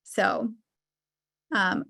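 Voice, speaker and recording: A woman presenting an online lecture over a video call, earnest and thoughtful, with quick asides. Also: bright, forceful tone.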